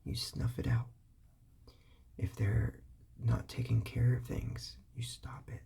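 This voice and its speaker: A man whispering calm, patient parenting advice, repeating his points as he explains. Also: medium pitch, slow pace. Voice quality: whispery, breathy, gravelly.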